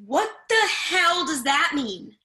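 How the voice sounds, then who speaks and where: A woman's voice: extremely high pitch, very animated, slow, sharp tone, exaggerated voice. A woman playing a harried, imperious professor in her fifties in a play reading, demanding and easily exasperated. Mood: indignant.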